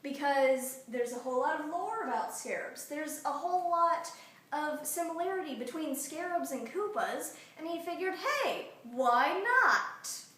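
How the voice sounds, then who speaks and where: A woman's voice: high voice, normal speed. A woman improvising a casual comic story in a rambling, conversational way with offhand asides. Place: echoey room, far from mic. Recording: echoey room, distant mic, faint noise.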